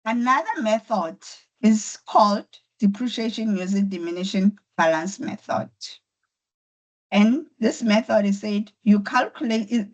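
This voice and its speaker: A woman's voice: mid-range voice, darkish tone, highs cut off. A woman with a voice affected by flu, patiently teaching an online accounting class step by step. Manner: patient, step-by-step explaining with repetition. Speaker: accounting tutor. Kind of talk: online lecture. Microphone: computer mic on a video call.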